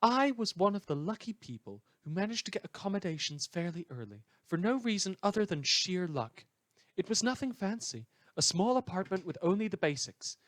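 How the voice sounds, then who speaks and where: A man acts out an opinionated letter writer for a radio drama, pitched well up and very emphatic. The delivery is fussy, chatty and declamatory, in a dry, close sound. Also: big pitch swings, moderate pace, a bit bright, no room sound. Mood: chatty storytelling. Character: opinionated, indignant, chatty radio listener writing in.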